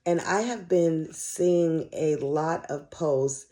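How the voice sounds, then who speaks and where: A middle-aged American woman hosting a casual solo podcast, chatting candidly and with slang in a slightly dry, raspy, hoarse voice. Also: deep voice, slow, mellow. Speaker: podcast host.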